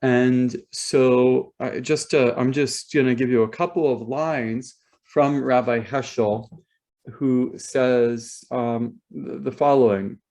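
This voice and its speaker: A man hosting as rabbi on a video call, speaking slowly and deliberately and often stopping to restart his sentences. his pitch sits right in the middle for a man, and the sound is plain video-call audio. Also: fairly even intonation, vocal fry, a little mellow. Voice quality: halting, many ums and repeated words. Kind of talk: hesitant spoken introduction.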